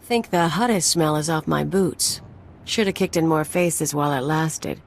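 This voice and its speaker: A woman's voice: sits low, normal speed. An actress playing a brash, flippant young female sidekick with a casually violent streak in a video game. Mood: playful swagger. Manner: flippant, swaggering. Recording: game music behind the voice.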